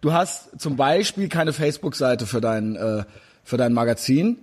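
A man's voice: mid-range voice, animated, moderate pace, darkish tone. A man in his forties interviewing a guest in a casual chat, rambling with many fillers and restarts. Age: 40s. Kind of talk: casual interview over video call.

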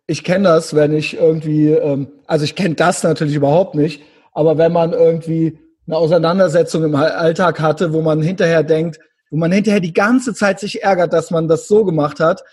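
A man's voice: on the high side, fairly even intonation, darkish tone, slightly rough. A man interviewing his guest in a loose, colloquial way, often agreeing and chiming in. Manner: casual, colloquial, quick to agree. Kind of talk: casual conversational interview.

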